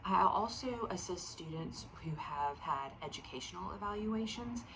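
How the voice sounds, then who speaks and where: A woman's voice: mid-range voice, animated, normal speed. An American woman in her thirties, a school guidance counselor, introducing herself informally. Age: 30s. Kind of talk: informal introduction to parents and students. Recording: clear ambient background noise.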